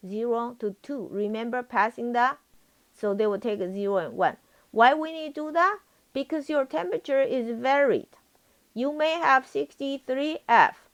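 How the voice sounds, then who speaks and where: A woman teaching a live programming lesson as a professor, repeating herself and often checking that students understand. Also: a little high. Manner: repetitive, frequently checks for understanding.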